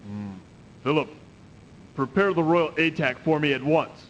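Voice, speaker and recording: An actor playing a proud, stern emperor at war in a declamatory video game cutscene style. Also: male voice, animated, a little slow, highs cut off. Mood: grave, resolute. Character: proud commanding emperor at war with his brother. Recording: noisy 1990s CD game audio, rumble.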